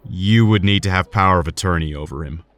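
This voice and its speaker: An actor playing a serious, cautious hospital surgeon in an audio drama, speaking in a measured, formal way. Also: male voice, sits low, fairly bright tone, dry recording. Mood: grave, measured.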